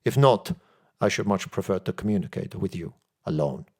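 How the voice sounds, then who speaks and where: Man voicing a deep, harsh German-accented count. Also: expressive, normal speed, slightly rough, exaggerated voice. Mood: imperious, guarded. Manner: formal, commanding. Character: tall masked Bohemian count, imperious and secretive.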